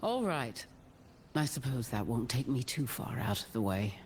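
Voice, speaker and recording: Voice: mid-range voice, sing-song, moderate pace, darkish tone, slight background noise. An actor voicing a resolute, righteous holy crusader hero in a video game, stern and protective in delivery. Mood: stern, businesslike.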